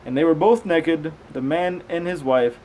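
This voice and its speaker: A man's voice: a little high, unhurried, mellow, a little hiss or hum, low-quality recording. A man reading scripture aloud, stumbling over unfamiliar words and dropping in casual, conversational comments.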